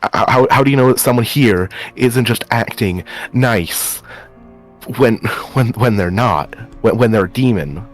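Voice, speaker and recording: A man's voice: mid-range voice, a little slow, soft background music, lightly acted. A man playing a wary, sceptical questioner in an improvised anime game roleplay, stammering and repeating words as he presses. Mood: doubtful, pressing. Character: wary, sceptical male questioner distrustful of demons.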